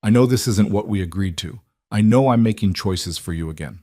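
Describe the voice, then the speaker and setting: A man voicing a cold, determined mafia boss who is protective of his family, with intense, measured delivery in an audiobook. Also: lowish voice, mellow, no echo, studio quality, close to an everyday voice. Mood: apologetic. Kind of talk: audiobook character dialogue.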